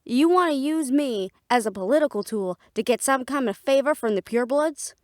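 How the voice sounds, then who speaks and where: A woman's voice: high-pitched, animated, moderate pace, darkish tone, dry recording, studio quality. A woman playing a defiant, fiercely independent half-fae daughter, blunt and sarcastic, in a fantasy audio drama. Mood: outraged. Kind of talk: fantasy audio roleplay acting.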